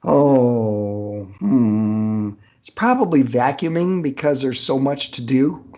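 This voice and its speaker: A man's voice: mid-range voice, takes it slowly, dark tone. An older man chatting casually as an author guest on a podcast, hesitant and rambling as he thinks aloud. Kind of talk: casual unscripted interview chat.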